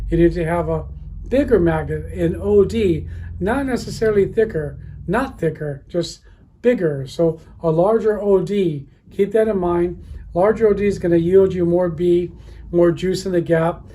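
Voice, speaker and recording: A man's voice: high voice, moderate pace, warm tone. A man in his fifties talking casually off the cuff about his trade, blunt and informal, recorded indoors from a camera a short way off. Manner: blunt, informal, occasionally swearing. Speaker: speaker manufacturer presenting a video. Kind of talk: casual off-the-cuff industry talk. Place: indoor audio room with wood-block wall. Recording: clearly noisy room ambience.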